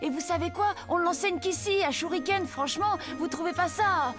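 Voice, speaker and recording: Eager cartoon schoolboy: very high child's voice, earnest, emphatic, enthusiastic. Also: female voice, moderate pace, over music. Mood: earnest, awed. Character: eager, earnest ten-year-old ninja schoolboy. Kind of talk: French cartoon dub.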